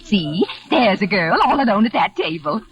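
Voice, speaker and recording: A woman's voice: medium pitch, very animated, moderate pace, muffled recording, a loud crowd, lightly acted. A woman playing a bossy, gushing, tactless hostess, effusive and condescending, in 1940s radio drama style. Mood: bubbly, insistent. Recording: vintage radio broadcast, loud music behind.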